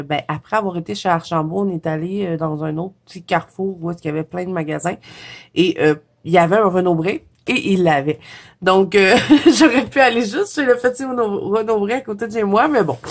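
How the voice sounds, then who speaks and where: A woman with a Québécois French accent talks casually to her viewers about coloring books, her voice stuffy from a cold. She rambles in a relaxed way, full of little fillers and giggles, with a middle-pitched voice. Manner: chatty with frequent "euh" fillers and soft giggles. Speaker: coloring vlogger. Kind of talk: casual chat flipping through a coloring book.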